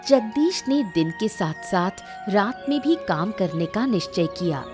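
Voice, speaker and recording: A woman's voice: medium pitch, lively intonation, measured pace, music in the background. A woman giving scripted narration for a cartoon moral story.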